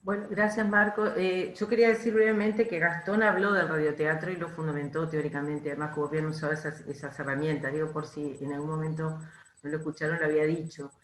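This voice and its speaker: A woman's voice: low-pitched, level tone, fast talker. A woman moderating an academic panel, keeping things brief and organized. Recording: roomy echo.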